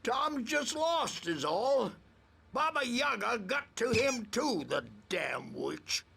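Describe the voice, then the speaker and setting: Very high, creaky crazy old rocker. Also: male voice, very animated, pushed and bright, exaggerated voice. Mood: rambling. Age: older. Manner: rambling, halting, theatrical. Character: crazy old rocker, rambling, conspiratorial, boozy. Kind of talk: video game character acting.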